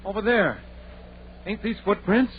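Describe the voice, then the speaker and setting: A man's voice: sits high, unhurried. A man playing a fearful, superstitious rural townsman with a folksy, nervous way of talking, in 1930s radio drama style. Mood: uneasy, reluctant. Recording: muffled, noisy 1930s radio recording.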